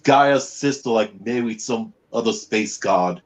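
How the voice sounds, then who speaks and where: A man's voice: lowish voice, slow pace, crowd noise. A man playing in a roleplay game, casually questioning and insistently repeating himself.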